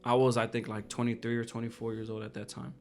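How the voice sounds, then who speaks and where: A man's voice: mid-range voice, completely flat delivery, quick pace, soft background music. A man in his twenties co-hosting a podcast, talking casually and earnestly and often repeating his phrases. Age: 20s. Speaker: podcast co-host. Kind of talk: casual personal chat.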